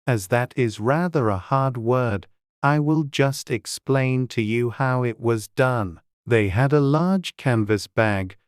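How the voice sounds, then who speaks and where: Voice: mid-range voice, no room sound, studio quality. An audiobook narrator reading a children's classic in a scripted storybook style.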